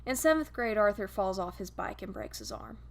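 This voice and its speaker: Woman as a creaky-voiced, wistful guardian angel. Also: medium pitch, animated, brisk. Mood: tender, wry. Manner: wistful, intimate first-person storytelling. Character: gentle, grieving male guardian angel narrating his human's life.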